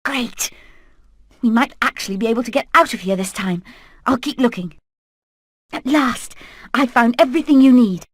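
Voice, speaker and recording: Brave female hen leader, rather high, earnest, determined, dry studio sound. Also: normal speed, long pauses, slightly rough, no room sound. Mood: eager. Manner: earnest, purposeful. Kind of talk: animated video game acting.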